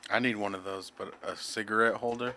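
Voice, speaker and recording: A man's voice: lowish voice, fairly even intonation, brisk, very noisy. A man chatting casually as a guest on a podcast, trading banter.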